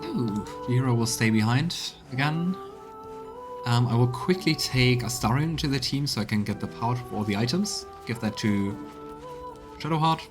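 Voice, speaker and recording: A man streaming a video game, giving commentary and reading in-game texts aloud in a measured, storytelling way. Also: medium pitch, expressive. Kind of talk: let's play commentary and voiced reading. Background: video game music.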